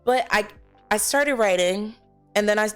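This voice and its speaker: A woman talking casually as an interview guest, telling her own story in a candid, animated, conversational way. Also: medium pitch, unhurried, clear, resonant tone, soft background music. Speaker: interview guest, actor and filmmaker.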